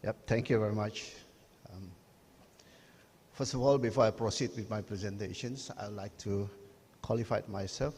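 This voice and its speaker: A man from Sabah, a chief executive opening a conference presentation in a measured way. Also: lowish voice, darkish tone, slight background noise. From Sabah, Malaysia. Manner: measured, with um and yep. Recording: reverberant hall.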